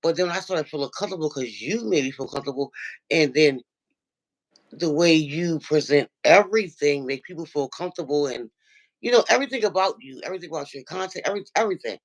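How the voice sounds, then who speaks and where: A man with a deep, nasal voice who calls into a livestream and tells a story, acting out what other people said. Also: fast talker, poor audio. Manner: animated storyteller, acts out quoted dialogue. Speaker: regular viewer calling into a livestream. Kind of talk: casual chat, retelling an online argument.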